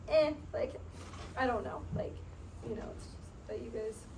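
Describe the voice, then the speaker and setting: A woman's voice: a little high, moderate pace, a little mellow. A young woman telling a casual story to a group, chatty and full of "like", laughing often. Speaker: sales team member sharing her story. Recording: distant mic, noisy room.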